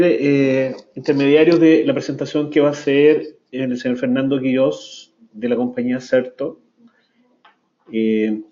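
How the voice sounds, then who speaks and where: A man hosting a webinar, introducing the guest in a slow, halting way with many fillers. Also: mid-range voice, mellow. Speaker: webinar host and consultant. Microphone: computer mic over an online call. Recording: dull lo-fi online audio.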